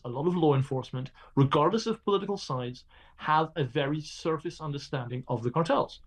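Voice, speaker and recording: A middle-aged man speaking as an interview guest, explaining in an articulate, measured way with the odd restart. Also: a little high. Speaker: guest, crime journalist.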